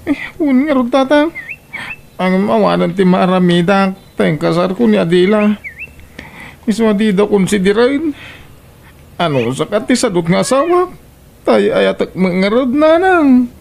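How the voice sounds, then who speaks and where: Background hiss sits under a woman acting an aggrieved daughter in a comedic radio drama. She complains plaintively, her creaky voice rising and falling widely. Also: medium pitch, very animated, unhurried, a little mellow. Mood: aggrieved, pleading. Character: aggrieved grown daughter venting about spouse and in-laws.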